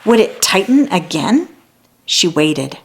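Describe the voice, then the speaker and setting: A woman narrating a children's story as a read-aloud, in an expressive, deliberate storytelling manner. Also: on the low side, slight background noise.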